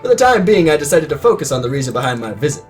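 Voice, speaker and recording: A man in his twenties reads a story aloud with a slightly high, animated voice. He keeps undercutting the narration with sarcastic, crude asides. Music plays underneath. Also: very fast. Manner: sarcastic, crude, self-mocking. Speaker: reader of a comedic readalong.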